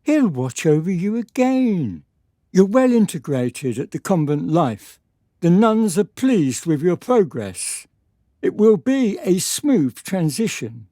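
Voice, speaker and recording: A woman playing a stern, evasive lord and father in a period radio drama, speaking in a measured, formal, patronizing way. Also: on the low side, dark tone, dry recording, clean studio sound, exaggerated voice. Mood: placating.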